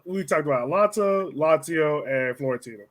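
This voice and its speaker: A man's voice: a little high, expressive, brisk. A man hosting a casual soccer podcast, chatting conversationally and putting questions to a co-speaker. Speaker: podcast host. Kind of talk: casual chat, soccer discussion.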